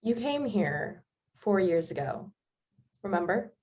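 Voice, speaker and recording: Young woman acting guarded, slow-spoken, wounded daughter. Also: medium pitch, sing-song, a little mellow, slightly rough, heavily acted. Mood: quiet, shy. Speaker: student actor. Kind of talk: naturalistic stage drama acting.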